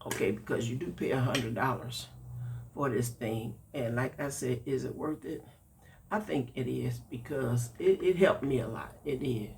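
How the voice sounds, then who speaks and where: A man, congested and a bit raspy, chatting folksily with viewers in a product-review vlog. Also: mid-range voice, moderate pace, a little hiss or hum. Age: 60s.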